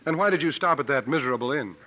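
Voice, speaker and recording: A man's voice: mid-range voice, expressive, rapid-fire, close to an everyday voice. An actor playing a confident, articulate travelling strongman and sleuth in a 1950s radio drama, with formal theatrical phrasing. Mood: assured, probing. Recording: muffled vintage 1950s radio recording.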